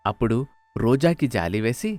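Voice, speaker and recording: A man's voice: medium pitch, lively intonation, moderate pace, darkish tone, no room sound. A man narrating a scripted moral story as a storyteller, with music behind him. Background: faint music.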